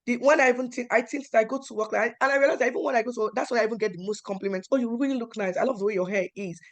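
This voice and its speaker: A young adult woman from Nigeria with a Nigerian accent, telling personal stories at a talk in an animated way and acting out the dialogue. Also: fairly high pitch, rapid-fire. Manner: animated, acts out quoted dialogue with emphatic repetition. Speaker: panel speaker.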